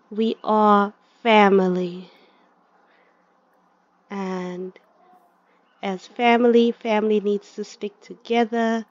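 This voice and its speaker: A woman's voice: medium pitch, vocal fry, a little mellow, dry recording. Woman voicing a falsely sweet stepmother. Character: cold scheming stepmother, middle-aged woman. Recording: slightly dull lo-fi recording.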